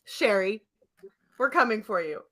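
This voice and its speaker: A woman with a bright, lively voice that swings widely in pitch hosts a casual comedy podcast. She is playful and giggly, and plays things up with mock drama. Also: unhurried. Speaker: podcast host.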